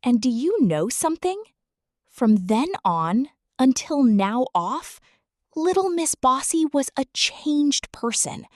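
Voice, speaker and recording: A woman reading a children's story aloud, expressive and sing-song. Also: sits high, slow, dry recording, studio quality.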